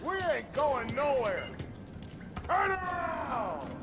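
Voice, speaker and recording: An actor playing an angry, defiant squatter, shouting in a crime game. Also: male voice, extremely high pitch, sing-song, extremely slow, loud music behind the voice, heavily acted.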